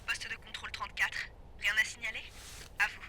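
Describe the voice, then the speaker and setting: Woman acting, radio dispatcher, monotone, clipped, official, noisy transmission, audio drama. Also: a little high, a little fast. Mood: flat, official. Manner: flat, businesslike. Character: businesslike female police radio dispatcher. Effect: two-way radio.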